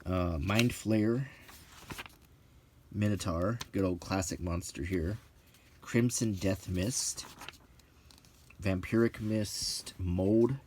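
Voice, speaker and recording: A middle-aged man giving halting, offhand commentary as he leafs through books, listing items one by one with casual opinions. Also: low voice, a little mellow, a little hiss or hum. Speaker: presenter of a hobby video. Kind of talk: unscripted casual commentary while leafing through books, unintentional ASMR.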